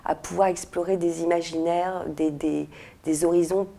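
A woman in her forties, an author, answering interview questions thoughtfully with frequent pauses and restarts, recorded on a lapel mic in a quiet indoor room. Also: on the low side, steady tone, gravelly, heavy background noise. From France and Morocco. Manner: thoughtful, many hesitations and restarts. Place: indoor room with red walls, seated in armchair.

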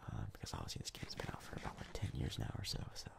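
A man speaks in a breathy whisper that often breaks into heavy vocal fry. His low voice moves around in pitch as he gives casual, halting ASMR commentary over gameplay. The delivery stays hushed and soft-spoken. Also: wildly swooping pitch. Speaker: gaming video host.

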